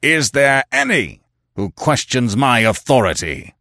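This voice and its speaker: A man's voice: low voice, lively intonation, a little slow, no echo, studio quality. A man doing a roaring, bull-voiced hill chief. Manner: roaring, growling, commanding. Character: burly bellowing hill-tribe chief, older man.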